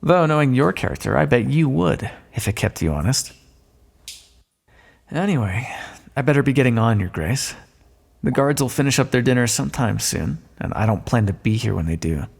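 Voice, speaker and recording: A man playing a smug, sardonic thief in an audio roleplay, speaking with mocking mock-courtly politeness and drawn-out emphasis in a long echo. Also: mid-range voice, animated, gravelly, breathy edge. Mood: amused. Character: smug, sardonic male thief, gloating at a fallen monarch. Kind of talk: scripted audio roleplay, theatrical monologue. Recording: long echoing reverb.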